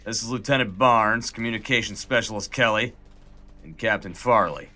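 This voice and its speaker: A no-nonsense snowman major acted by a man, low and forceful, used to giving orders and weary of war. It is comic audio drama with music underneath. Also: moderate pace. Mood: stern. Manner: authoritative, no-nonsense. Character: gruff, weary commanding snowman army major.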